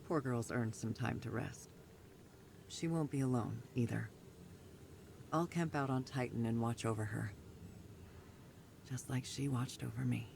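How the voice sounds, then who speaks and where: A woman's voice: extremely low pitch, vocal fry, a little mellow, slight background noise, heavily acted. A woman voicing a tough, blunt female soldier in a video game cutscene, plainspoken and candid.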